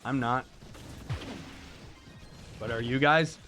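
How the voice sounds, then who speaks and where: A young man chatting casually with viewers while he plays a game, hoarse and losing his voice from being sick, talking in an excitable, laid-back way. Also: medium pitch, takes it slowly. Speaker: game streamer. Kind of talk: casual livestream chat while gaming.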